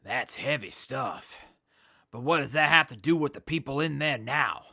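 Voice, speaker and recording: A man plays a cool-cat wasteland demolition guy in a radio play, cocky and slangy. His voice is creaky and sharp-edged, with very lively swings of pitch. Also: moderate pace, dry recording, clean studio sound, exaggerated voice. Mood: concerned. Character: laid-back hip wasteland explosives guy, cheerful and cocky. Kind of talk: post-apocalyptic audio drama acting.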